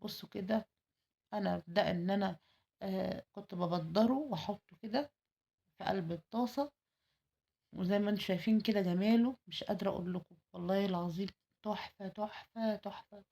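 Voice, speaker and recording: A woman's voice: mid-range voice, fairly quick, creaky, dark tone. A woman with an Egyptian Arabic accent in a chatty, effusive cooking vlog, her voice tired, repeating words for emphasis. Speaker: home cooking vlogger.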